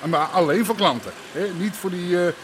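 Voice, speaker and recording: A gruff underworld boss. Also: male voice, high-pitched, expressive, normal speed, a bit bright, slight background noise. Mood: instructing. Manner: blunt, coarse, streetwise. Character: middle-aged Amsterdam underworld boss, gruff, scheming. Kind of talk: radio drama acting.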